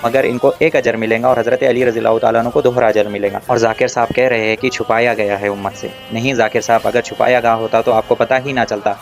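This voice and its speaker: Man delivering a fast, taunting rebuttal. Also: mid-range voice, flat delivery, faint music behind. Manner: taunting, confrontational, directly addressing an opponent. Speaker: religious debater giving a rebuttal. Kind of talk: argumentative rebuttal commentary.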